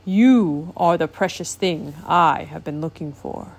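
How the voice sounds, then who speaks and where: A commanding sea king with a deep, booming delivery that fills every word, as a woman reading a storybook puts him on. He speaks slowly and with weight, a stern old ruler used to being obeyed. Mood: stately. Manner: slow and commanding.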